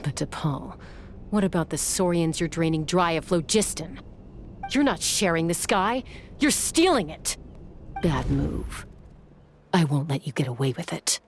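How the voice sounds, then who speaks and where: An actress playing a tough, cool-headed peacekeeper in a video game, with a brisk, dry, commanding manner. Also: female voice, low-pitched, expressive, faint music behind. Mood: wistful, then indignant. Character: tough, cool-headed young woman gunslinger peacekeeper, resolute. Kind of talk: video game English dub acting.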